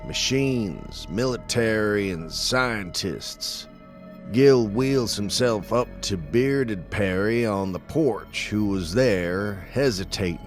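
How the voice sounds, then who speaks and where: An actor playing a dead man who calmly narrates an audio drama with slow, measured, deliberate delivery. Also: fairly low pitch. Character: dead man narrating, calm and all-seeing.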